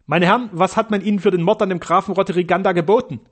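Fearless German doctor, heated and formal, voiced a touch high by a male reader. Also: fairly even intonation, racing. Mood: indignant.

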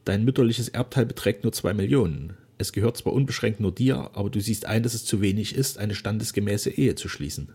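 A man reading aloud voices a dying old duke speaking solemnly and gravely to his daughter. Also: fairly low pitch, lively intonation, brisk, hardly any pauses, a little mellow. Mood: moved. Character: dying proud old duke, remorseful father.

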